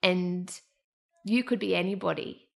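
A woman's voice: fairly low pitch, unhurried, creaky. A woman hosting a podcast, telling a personal story in a candid, emotional way. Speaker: podcast host. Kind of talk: casual chat.

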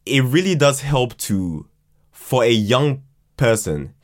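A man's voice: medium pitch, sing-song, slow. A man talking casually as a podcast guest, slangy and informal, often repeating words and syllables as he works toward his point. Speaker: podcast guest, writer of an audio fiction drama.

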